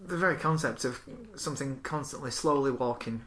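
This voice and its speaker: A man's voice: mid-range voice, fairly quick, a bit bright. A man chatting casually as a co-host, informal and sweary, acting out bits of dialogue as he tells stories. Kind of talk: casual chat with anecdotes.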